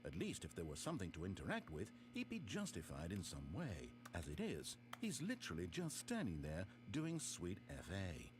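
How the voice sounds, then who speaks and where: An actor playing a pompous, sardonic and exasperated storybook narrator in a video game, speaking articulately in scripted narration. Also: male voice, low-pitched, wildly swooping pitch, moderate pace, few pauses, faint music behind. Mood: deadpan, baffled.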